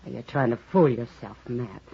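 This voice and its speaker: A woman's voice: rumbling low, a little slow, vocal fry, slightly rough, exaggerated voice. An American actress playing a forthright, anxious, strong-willed frontier saloon woman in a 1950s radio western, direct and insistent. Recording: muffled old radio recording.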